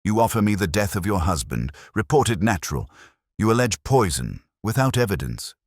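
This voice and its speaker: A man's voice: on the low side, big pitch swings, moderate pace, no room sound, clean studio sound, voice pushed up. A man voicing a heavyset, imperious, brusque detective genius with terse, formal, pompous speech, in an audiobook mystery. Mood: skeptical.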